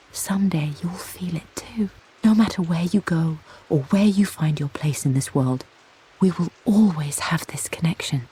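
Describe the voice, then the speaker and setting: An actress playing a loving, cultured English mother in a video game, speaking softly and intimately, as if reading from her diary. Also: deep voice, slight background noise, poor audio. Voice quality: soft, breathy. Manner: gentle, measured, intimate. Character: loving, cultured mother, an artist, recalling family life.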